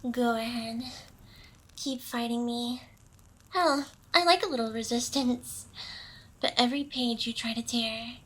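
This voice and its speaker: A woman's voice: on the high side, a bit bright, husky, full character voice, poor audio. A woman playing an ancient, smug, seductive demon boss in an ASMR roleplay, with an intimate, teasing delivery. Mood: taunting.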